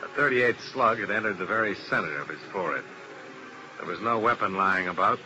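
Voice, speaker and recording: Give me a tough, clipped insurance investigator from a vintage radio crime drama. His voice sits low and steady, and he asks blunt questions with dry reserve. The old broadcast hisses clearly underneath. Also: a little fast, low-quality recording. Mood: somber narration. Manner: terse, dry, probing. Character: hard-boiled male insurance investigator. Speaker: narrator and lead detective. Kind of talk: 1950s radio drama acting.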